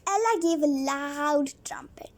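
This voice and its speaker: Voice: high voice, lively intonation, measured pace, a little hiss or hum. A child reading a storybook aloud, stumbling over words and repeating phrases now and then.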